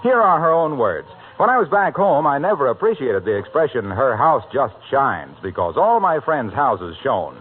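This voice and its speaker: A man's voice: mid-range voice, big pitch swings, normal speed, hardly any pauses, slightly rough. An American man announcing and reading commercials in polished, emphatic 1940s radio style. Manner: polished, emphatic salesman delivery. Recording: narrow, slightly noisy old radio broadcast.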